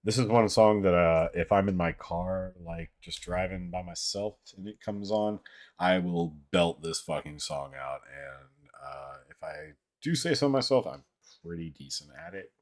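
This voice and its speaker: A man with a low, deep voice and a somewhat monotone delivery hosts a casual livestream. He rambles and halts as he talks, swearing freely. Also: measured pace. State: voice somewhat out of sorts. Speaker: livestream host. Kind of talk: casual livestream chat, reading viewer comments.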